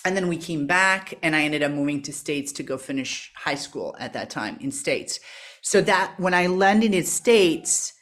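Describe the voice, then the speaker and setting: A woman in her thirties from Serbia talks as a podcast guest. Her voice sits low. She tells her story candidly and keeps quoting her own past thoughts. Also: moderate pace. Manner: candid, animated, frequent "like" and quoted self-talk. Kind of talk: conversational storytelling.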